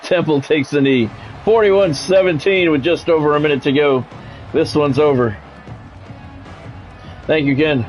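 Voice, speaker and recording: A man with a somewhat high voice calls a football video game as he plays it for a stream. He slips between play-by-play and offhand chat with viewers, cursing casually. Faint music plays behind him. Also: measured pace, pauses often, rough recording. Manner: salty, swears casually, addresses viewers mid-call.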